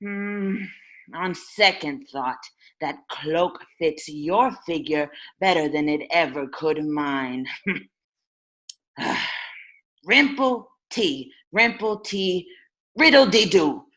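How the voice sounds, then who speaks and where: A woman's voice: deep voice, expressive, slow pace, pauses often, fairly bright tone, full character voice. A woman playing a mischievous rhyming goblin gardener in a comic radio play, with a sing-song manner. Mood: offhand. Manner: sing-song baby-talk rhymes.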